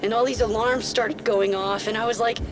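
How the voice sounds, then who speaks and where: An actress playing a fidgety, irritable young employee, giving a casual, slangy recorded statement in an audio drama. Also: female voice, fairly high pitch, steady tone, normal speed, a crowd in the background, natural voice. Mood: animated.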